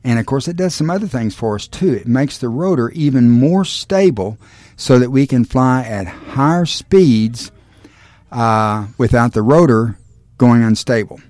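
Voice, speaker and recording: A man giving a measured technical explanation in an interview, stressing the figures. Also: mid-range voice, lively intonation, a little mellow, no echo. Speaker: aircraft designer being interviewed.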